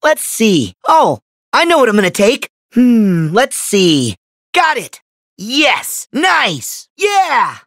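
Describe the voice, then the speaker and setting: An actor voicing a spirited, earnest teenage boy hero in a video game, with energetic, punchy exclamations. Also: medium pitch, takes it slowly, no room sound, clean studio sound, heavily acted. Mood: curious. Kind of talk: video game voice acting, battle barks and exclamations.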